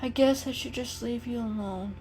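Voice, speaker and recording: A woman's voice: fairly high pitch, moderate pace, a little mellow, soft background music, pitched above the performer's own voice, lightly acted, poor audio. A woman voicing a small round tuxedo kitten, earnest and a little hesitant, in a storybook reading. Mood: meek, pleading.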